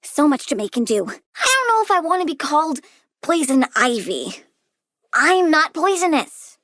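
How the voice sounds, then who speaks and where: A woman's voice: high voice, sing-song, moderate pace, a bit bright, dry recording, clean studio sound. A woman playing a precocious young girl plant scientist and budding supervillain in a video game, chirpy and smug with childlike know-it-all menace and teenage sarcasm. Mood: smug, playful menace. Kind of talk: video game character voice lines.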